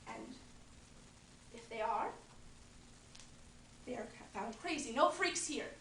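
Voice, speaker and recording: A woman's voice: on the high side, expressive, slow, a bit bright, slight background noise. Woman playing a woman mourning lost miracles. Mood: mournful. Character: grieving woman longing for lost faith. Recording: roomy, from a distant mic.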